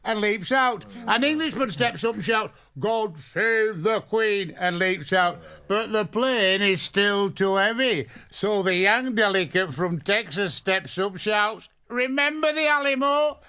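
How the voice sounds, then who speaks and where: An actor playing a blunt British village pub local who tells jokes, in a radio drama. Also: male voice, sits high, unhurried, hardly any pauses, pushed and bright, clean tone. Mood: jovial storytelling.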